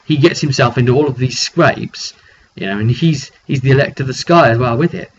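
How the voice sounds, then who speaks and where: Guy chatting through his tabletop rpg session, mid-pitched voice, muffled mic w some noise behind. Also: brisk. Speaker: game master recapping a tabletop roleplay session. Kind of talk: casual spoken storytelling. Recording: dull lo-fi mic with background noise.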